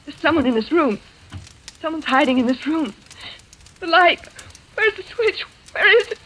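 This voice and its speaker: An actress playing a nervous, haunted young woman in a vintage radio drama, speaking in a breathless, halting, melodramatic way. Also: high voice, lively intonation, a bit bright. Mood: terrified. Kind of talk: old-time radio drama acting. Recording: noisy vintage radio recording.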